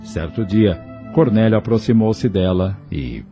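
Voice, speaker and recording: Low, mellow male narrator over music. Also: unhurried. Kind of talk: scripted audio novel narration.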